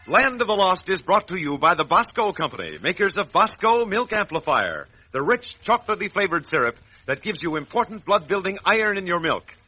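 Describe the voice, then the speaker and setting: A man's voice: sits high, poor audio. A man announcing and reading sponsor copy for children in 1940s radio style. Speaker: announcer and pitchman.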